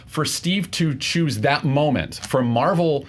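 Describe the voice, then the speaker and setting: A man's voice: a little high, slow. A man debating pop culture casually as a show contestant, making his case emphatically and repeating phrases for stress.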